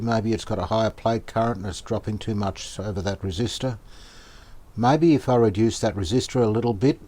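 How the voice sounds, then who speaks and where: A man talks through a hands-on repair in a low, mellow voice. He sounds casual and tentative, working things out as he goes, with some background noise around him. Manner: thinking aloud, tentative. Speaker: hobbyist radio restorer presenting a repair video.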